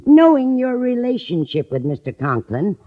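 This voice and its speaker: Older female landlady, fond, inquisitive, swooping pitch, 1950s radio comedy, dull vintage recording. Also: very animated, normal speed. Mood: puzzled, inquisitive. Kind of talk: 1950s radio sitcom acting. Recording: muffled old-time radio recording.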